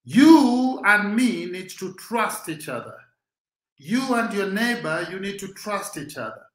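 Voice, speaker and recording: A man's voice: high-pitched, lively intonation, unhurried, fairly bright tone, roomy sound. A Kenyan man with a Swahili-speaking background, giving a rousing, preacher-like motivational talk as host.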